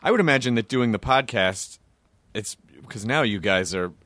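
A man hosting a podcast, asking questions in an animated, casual way and swearing now and then. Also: medium pitch, normal speed, no echo. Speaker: podcast host. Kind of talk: casual interview chat.

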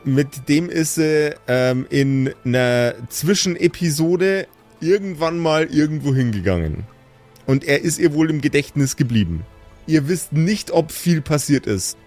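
A man's voice: on the high side, expressive, a little slow, darkish tone, faint music behind. A man as game master, improvising the narration of a fantasy tabletop game.

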